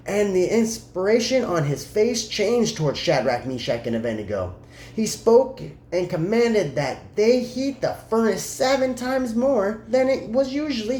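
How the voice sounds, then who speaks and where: Expressive, mellow scripture reader in a roomy space. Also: mid-range voice. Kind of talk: dramatic Bible reading. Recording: roomy echo, faint background noise.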